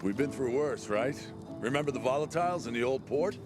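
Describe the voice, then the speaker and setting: An actor playing a protective, easygoing squad lieutenant in a video game cutscene, gruffly joking and swearing. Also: male voice, mid-range voice, expressive, brisk, lightly acted. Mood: upbeat, encouraging. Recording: game music behind the voice.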